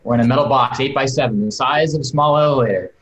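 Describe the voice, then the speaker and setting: A man's voice: medium pitch, fast talker, fairly bright tone. A man reading scripted stage directions aloud with dramatic, emphatic storytelling. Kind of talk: scripted narration read aloud. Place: indoors, on a video call. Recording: roomy video call sound.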